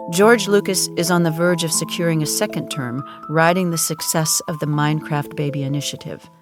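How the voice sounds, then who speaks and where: Deep-voiced woman narrating solemnly over music. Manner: solemn, measured, deadpan. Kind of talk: scripted documentary-style audio drama narration.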